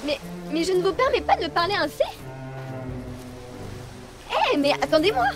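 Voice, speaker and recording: A woman's voice: very high voice, lively intonation, fast, over music. A woman voicing a fussy young noblewoman who chatters and panics constantly. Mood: anxious. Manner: chattering, whiny, easily panicked.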